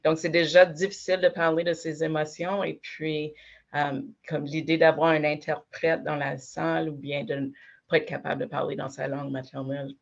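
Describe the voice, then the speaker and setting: A woman's voice: low voice, flat delivery, moderate pace, vocal fry, lo-fi sound. A woman working at a health centre, answering a panel question reflectively.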